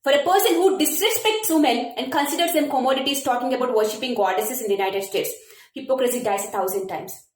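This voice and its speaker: A woman's voice: high voice, fast, roomy sound. A woman with an Indian accent, a radio jockey delivering an indignant, declamatory scripted monologue built on one repeated refrain. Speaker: radio jockey giving commentary.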